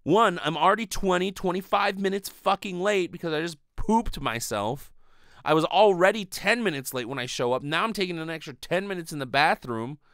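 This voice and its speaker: A man in his thirties hosting a podcast, delivering an animated, rambling, sweary comedic monologue into a close studio microphone. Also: sits high, brisk, clear, resonant tone, no echo. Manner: animated, profane, rambling storytelling. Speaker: podcast host. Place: small bedroom, indoors. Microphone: close desk studio microphone with pop filter.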